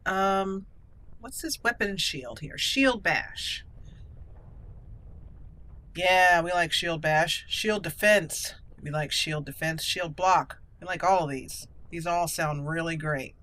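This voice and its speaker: A woman's voice: lowish voice, slow pace, a bit bright. A woman hosting a let's-play video, commenting casually and talking to herself and the game characters as she plays. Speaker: gaming video host. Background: game music.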